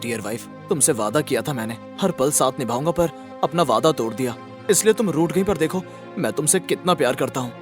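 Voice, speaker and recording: A man's voice: medium pitch, expressive, brisk, over music, lightly acted. A man voicing a self-absorbed young husband in a dramatic, emphatic style for an audio story. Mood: grieving, remorseful. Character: selfish young husband, evasive, later remorseful and melodramatic. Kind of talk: audio story melodrama acting.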